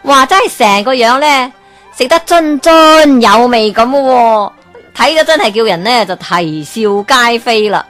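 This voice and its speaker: A woman with a Hong Kong Cantonese accent narrating a folk tale as a radio storyteller, lively and expressive. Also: on the high side, measured pace, soft background music. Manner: lively, expressive, chuckling asides.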